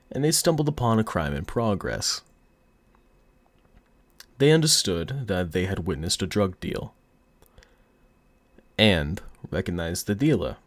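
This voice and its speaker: A man's voice: low-pitched, no echo, heavily acted. A man playing a cocky, flirty, menacing mafia boss in an audio roleplay, with a put-on mobster accent and a smug, teasing drawl.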